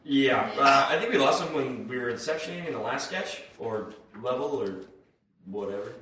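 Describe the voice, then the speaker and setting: A man performing in a live comedy sketch in a halting, deadpan manner. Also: medium pitch. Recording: very echoey room, distant mic, noisy with clicks.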